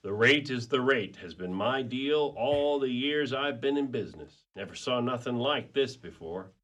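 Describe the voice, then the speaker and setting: A man's voice: mid-range voice, animated, moderate pace, fairly bright tone, natural voice. A man playing a brawny, easygoing pet detective in a radio play, a folksy and rambling talker. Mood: easygoing, chatty. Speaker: actor in a community radio play.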